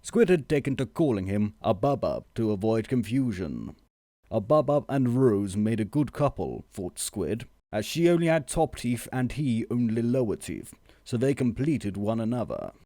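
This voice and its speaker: A man reading a scripted comic story as narrator, measured and deadpan. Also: medium pitch, no room sound, clean studio sound.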